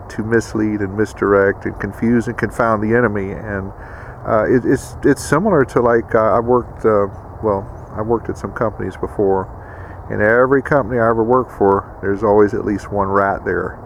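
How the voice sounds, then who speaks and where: A man hosting a casual instructional vlog with a gravelly voice, addressing viewers in a folksy way. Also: fairly low pitch, a little hiss or hum, low-quality recording. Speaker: video host.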